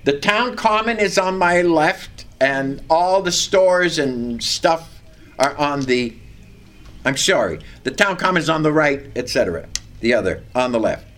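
An older man preaching a sermon with a loud, carrying voice, reading scripture and telling a personal story with animation. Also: on the high side, animated, unhurried.

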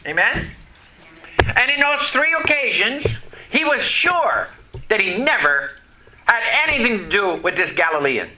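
Man, preacher, sermon, high and lively pitch, slow, questions the congregation, first language French. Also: a little hiss or hum, poor audio. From Vermont, USA. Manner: folksy, questions the congregation, pauses between phrases.

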